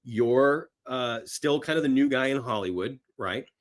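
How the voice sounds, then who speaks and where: Male podcast guest, mid-pitched voice, emphatic raconteur casually recounting movie history and acting out quotes. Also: unhurried. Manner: emphatic storyteller, often voicing quotes. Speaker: podcast guest, film industry veteran. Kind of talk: casual anecdotal film-history conversation.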